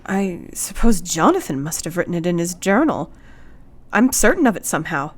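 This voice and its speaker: An actress playing a brave, helpful Victorian wife in a radio drama, earnest, polite and formal in manner. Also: female voice, lowish voice, big pitch swings, normal speed. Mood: alert, certain.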